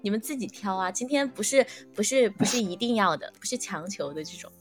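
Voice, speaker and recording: A woman's voice: medium pitch, lively intonation, a little fast, faint music behind. A woman hosting a casual interview chat among guests. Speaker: host.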